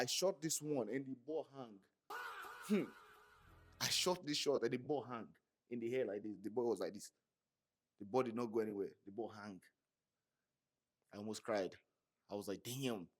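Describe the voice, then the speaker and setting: A young man from Nigeria with a Yoruba accent, telling a personal story to camera in a slangy, animated way with many fillers. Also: medium pitch. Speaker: vlogger. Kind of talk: casual monologue to camera.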